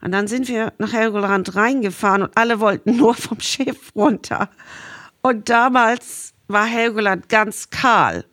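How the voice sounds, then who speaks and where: A woman's voice: a little high, a little slow, a bit bright, dry recording, clean studio sound. A middle-aged woman from Ireland telling stories conversationally as an interview guest. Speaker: interview guest, marine scientist. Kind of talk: conversational storytelling, reminiscing.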